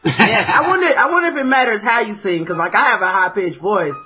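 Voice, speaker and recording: A man hosting a podcast who says he has a high-pitched voice, joking around and swearing casually as he reads listener questions. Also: a little fast, highs cut off. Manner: joking, profane, easily amused. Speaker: podcast host. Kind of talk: casual chat.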